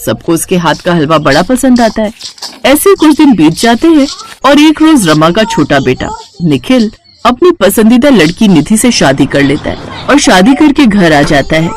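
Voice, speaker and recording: A woman's voice: mid-range voice, sing-song, moderate pace, slight background noise. A woman narrating a scripted children's bedtime story.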